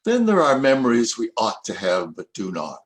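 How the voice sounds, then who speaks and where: An older man reading his own rhyming poems aloud in a measured, reflective recitation. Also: mid-range voice, huge pitch swings. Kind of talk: poetry reading.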